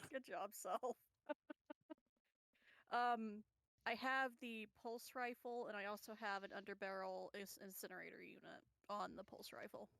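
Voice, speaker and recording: A woman's voice: mid-range voice, measured pace, gravelly, long pauses, no room sound. A woman playing a tabletop game, chatting casually on a microphone over an online voice call. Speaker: player.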